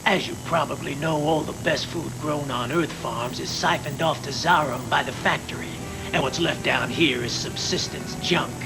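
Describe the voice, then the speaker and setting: An actor playing a smooth, menacing middle-aged crime boss in a dubbed anime, patronizing and persuasive. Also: male voice, mid-range voice, natural voice, rough recording. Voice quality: gravelly, creaky. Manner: patronizing, persuasive, calls the younger one "son". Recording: worn VHS tape, loud music behind.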